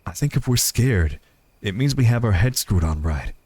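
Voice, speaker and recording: A man's voice: lowish voice, animated, moderate pace, a little mellow, husky, no echo. A man voicing a tender, reassuring cowboy outlaw with a folksy Western drawl in a visual novel.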